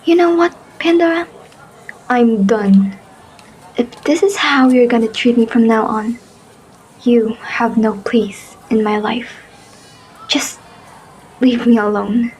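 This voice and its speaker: A woman's voice: a little high, takes it slowly, pauses often, a little mellow, slight background noise, low-quality recording. A woman acting an indignant, easily hurt young fairy in an amateur roleplay drama, with an emphatic, dramatic delivery. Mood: hurt, angry.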